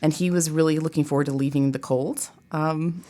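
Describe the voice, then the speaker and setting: A middle-aged woman from Tennessee with a fairly low voice talks as a guest on an interview show. She is chatty and expressive, dropping informal asides into a casual, conversational delivery. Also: brisk, a little hiss or hum. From Tennessee, United States. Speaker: guest, theater professor. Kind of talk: casual chat.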